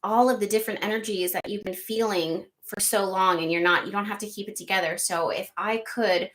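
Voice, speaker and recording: Woman doing a live astrology chat, soft kinda nasal voice, lots of ums, right up on the mic. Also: medium pitch, fairly even intonation, brisk, rough recording. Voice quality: slightly nasal, soft and soothing. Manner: chatty, rambling, lots of ums and likes. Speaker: astrology livestream host. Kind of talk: casual livestream chat reading comments. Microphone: close studio microphone.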